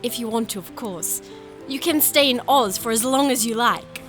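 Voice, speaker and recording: A woman playing a gentle, earnest young fairytale princess in an audio drama, sincere and measured. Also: fairly high pitch, faint music behind, lightly acted. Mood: sincere, soft. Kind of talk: audio drama acting and narration.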